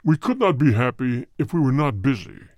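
A man voicing a grave spirit of the dead in a storybook, speaking in a deep, hard, cold voice with formal, measured phrasing. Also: big pitch swings, a little mellow, no room sound. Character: solemn spirit of the dead speaking through a medium.

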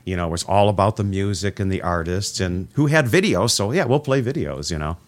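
A man's voice: lowish voice, expressive, fairly quick, dry recording. A middle-aged man hosting a radio interview, chatting casually and rambling through nostalgic stories. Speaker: radio host.

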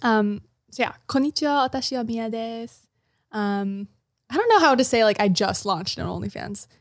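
A woman's voice: on the high side, expressive, moderate pace, no echo, studio quality. A young woman from Japan, a native Japanese speaker, chatting easily as a podcast guest. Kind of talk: casual chat.

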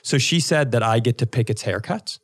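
Male podcast co-host, average pitch, casual comic storyteller, foul-mouthed and rambling, given to exaggeration. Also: brisk, husky. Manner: rambling, profane, animated exaggeration.

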